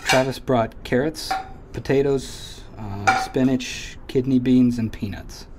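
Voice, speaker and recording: A man acting in an audio drama as a literal-minded, deadpan helper robot, flat and matter-of-fact. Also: medium pitch, slow pace, very noisy, close to an everyday voice.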